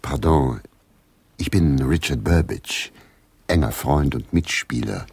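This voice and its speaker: A genial stage player of centuries past in a radio drama. The voice is extremely deep and gravelly, moving slowly and expansively with theatrical courtesy. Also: male voice, lively intonation, a little mellow, full character voice. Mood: courteous. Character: genial, theatrical English stage actor of Shakespeare's day. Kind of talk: German radio drama acting.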